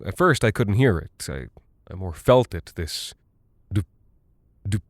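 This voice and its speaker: An actor playing a rattled, folksy American cargo pilot who talks in a halting, confiding way, like a personal audio log in a horror drama. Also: male voice, low voice, animated, dry recording, clean studio sound, heavily acted. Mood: uneasy, confiding. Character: weary, rattled male military cargo pilot, chatty and folksy.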